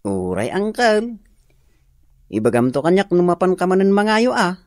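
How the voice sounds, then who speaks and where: Earnest, lively young Ilocano nephew. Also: male voice, high-pitched, moderate pace, dry recording, clean studio sound. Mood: caring, gentle. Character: caring, dutiful young nephew. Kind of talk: Ilocano radio drama acting.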